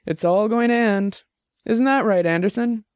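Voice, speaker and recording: A combative young woman musician, tipsy and loud, voiced by a man reading an audiobook. She talks with derision and a raised, pushy pitch. The sound is dry and close. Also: normal speed, no echo, lightly acted.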